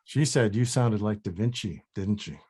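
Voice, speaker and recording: A man playing a casual, sceptical, needling sidekick in a script table read, with a husky voice. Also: fairly low pitch, moderate pace, warm tone.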